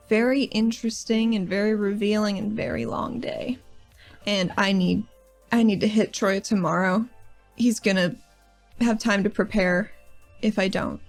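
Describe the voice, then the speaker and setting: A woman's voice: medium pitch, steady tone, unhurried, pauses often, faint music behind. A woman playing her character in a tabletop game, speaking in a casual, rambling way as she recaps events. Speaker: player.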